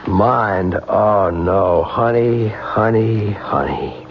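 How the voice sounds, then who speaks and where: A man's voice: fairly low pitch, extremely slow, vocal fry, heavy background noise, full character voice. An actor playing an affectionate young husband and new father in a 1970s style radio drama. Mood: tender joy. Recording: dull old radio broadcast, loud music.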